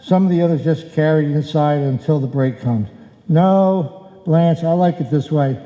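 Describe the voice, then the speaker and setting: A man playing a blustering but sentimental husband in a live old-time radio comedy, with halting, emotional delivery. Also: on the high side, mellow, lightly acted. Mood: tender. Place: indoor hall stage, live performance. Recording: echoey hall, live stage performance.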